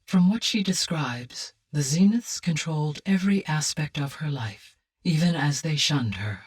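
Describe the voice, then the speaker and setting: A benevolent artificial intelligence from a video game. Her female voice is slightly breathy and sounds a little removed from the mic. She explains things calmly, in formal, exact, measured sentences. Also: deep voice, far from the mic, heavily acted. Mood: composed, explanatory. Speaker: game character, advisor. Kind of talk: scripted video game dialogue.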